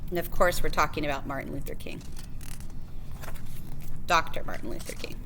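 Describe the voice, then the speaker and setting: A woman's voice: low-pitched, fairly even intonation, moderate pace, background noise. A woman reading a children's picture book biography aloud as a librarian, phrase by phrase with emphasis on key words.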